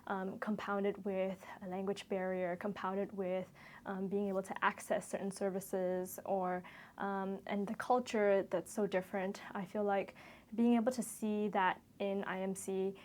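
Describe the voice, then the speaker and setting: A woman in her twenties who grew up in Malaysia gives a reflective, interview-style testimonial. Her voice sits at an average pitch for a woman. She talks earnestly, linking loose phrases as she thinks back over her experience. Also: little pitch movement, unhurried, darkish tone. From Kuala Lumpur, Malaysia. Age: 20s. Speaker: medical student-to-be sharing a shadowing experience.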